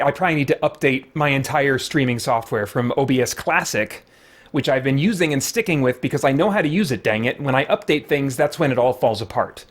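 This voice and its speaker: A man hosting a live stream with casual, rambling, self-deprecating chat. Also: medium pitch.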